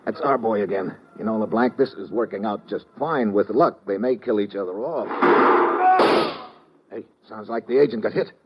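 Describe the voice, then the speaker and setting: Man acting a low-voiced hard-boiled radio detective. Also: moderate pace, heavy background noise, lightly acted. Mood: wary, urgent. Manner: clipped, slangy, wry. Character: wry, tough, hard-boiled male investigator, quick with wisecracks. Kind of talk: old-time radio detective drama acting and first-person narration. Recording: dull vintage radio recording with music.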